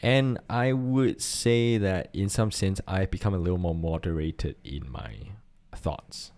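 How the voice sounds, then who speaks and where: A middle-aged Singaporean man with a deep, low voice talks earnestly on a podcast. He thinks aloud, restarting phrases as he searches for the right word. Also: measured pace, a little mellow. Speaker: podcast co-host. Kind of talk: casual chat.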